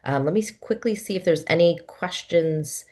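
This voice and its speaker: A woman's voice: fairly low pitch, normal speed, a little mellow. A woman moderating a webinar, enthusiastic, asking questions with many fillers.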